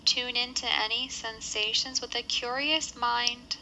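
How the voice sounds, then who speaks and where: Bright-voiced woman guiding a meditation. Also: on the high side, steady tone, slight background noise, low-quality recording. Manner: measured, instructional, gentle phrasing with pauses. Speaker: meditation guide. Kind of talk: guided body scan meditation.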